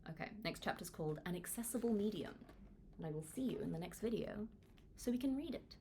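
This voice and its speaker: A woman from Hong Kong reading a book aloud in an expressive, informal, self-deprecating way, like a read-along. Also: mid-range voice, fairly quick, a little hiss or hum. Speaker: author reading their own book aloud. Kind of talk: audiobook read-along with casual asides.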